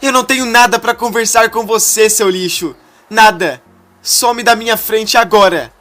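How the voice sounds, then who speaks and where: A man's voice: high-pitched, moderate pace, bright, forceful tone, soft background music, voice pushed up. A man voicing a betrayed young woman who is shocked and furious, shrilly screaming her lines in a retold soap-opera story.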